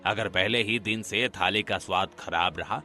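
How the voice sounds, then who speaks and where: A man's voice: lowish voice, normal speed, bright, forceful tone, soft background music. A man voicing an arrogant, scheming middle-aged village pandit in a cartoon, sneering and bossy. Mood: conspiratorial.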